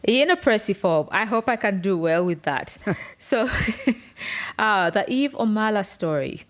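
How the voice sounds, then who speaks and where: A woman's voice: medium pitch, expressive, measured pace. A woman with a Ugandan accent speaking as a podcast co-host in an earnest, articulate, explanatory way. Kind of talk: prepared podcast conversation.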